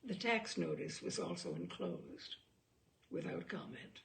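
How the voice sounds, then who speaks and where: Woman storyteller, very creaky voice, widely varied pitch, literary audiobook narration, deliberate dramatic pauses. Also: wildly swooping pitch, a little hiss or hum. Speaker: storyteller reading a short story aloud.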